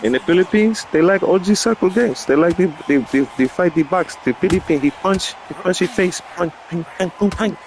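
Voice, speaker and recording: A man chatting casually to his viewers as he walks his dog outdoors. He talks in lively, slangy bursts and laughs often, with a faint background hiss behind him. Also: sits high, brisk, hardly any pauses. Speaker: vlogger. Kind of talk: casual vlog chat.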